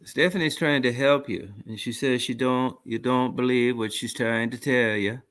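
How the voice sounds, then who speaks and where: A man from Canada hosts a call-in radio show in a bright, forceful voice. His delivery is blunt and deadpan, and he trades crude jokes with his callers. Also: medium pitch, moderate pace. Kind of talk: casual call-in radio chat.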